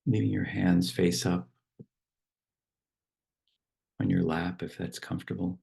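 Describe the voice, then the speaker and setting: A man leading a guided meditation and reading verse, speaking slowly and softly with long pauses. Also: low voice, flat delivery, creaky, slightly rough. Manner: slow, unhurried, soft instruction with long pauses. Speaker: meditation teacher.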